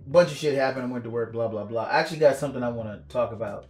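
A man co-hosting a casual podcast, hoarse and raspy from coughing, ranting quickly and laughing. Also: medium pitch, darkish tone, soft background music. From DC area. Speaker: podcast co-host. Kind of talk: casual profane podcast banter.

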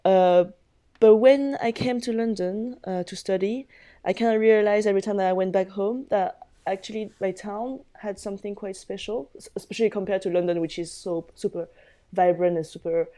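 A woman's voice: mid-range voice, fairly even intonation, normal speed, dark tone. A woman in her twenties from France, guest editor answering interview questions casually with many hesitations. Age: 20s.

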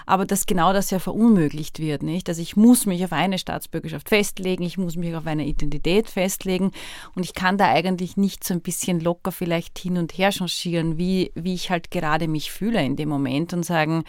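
Thoughtful Austrian woman, low, dry. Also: steady tone, a little fast, darkish tone, no room sound. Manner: thoughtful, searching for words, Austrian expressions. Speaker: podcast host. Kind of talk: reflective conversational interview.

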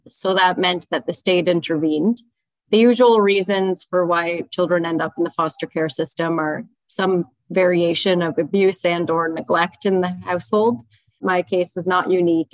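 A woman's voice: on the low side, no room sound, low-quality recording. A woman in her thirties, a guest on an interview podcast, telling her story candidly in a conversational way. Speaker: podcast guest, child advocate. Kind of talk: interview answers.

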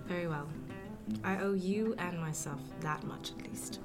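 A woman's voice: on the low side, measured pace, music in the background, close to an everyday voice. An actress playing a defiant, bitter eighteen-year-old well-born woman in a period radio drama, speaking formally and emphatically. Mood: reluctant. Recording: clearly noisy, ambient sound behind.

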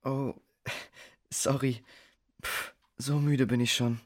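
A performer playing an anxious, self-doubting boy in a children's audio drama, hushed and hesitant. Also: medium pitch, fairly even intonation, takes it slowly. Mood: tired, unsure.

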